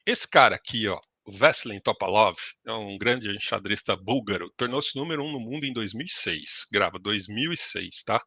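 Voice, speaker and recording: A man's voice: lowish voice, normal speed, hardly any pauses, bright, forceful tone, breathy edge, no echo. A man teaching a live online lesson, explaining things in a casual, chatty way. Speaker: instructor.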